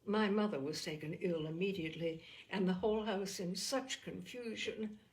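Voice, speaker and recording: A woman voicing a gentle, anxious, forgiving young sister, earnest and measured, in a storybook reading. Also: fairly low pitch, lightly acted, poor audio. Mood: anxious, sorrowful.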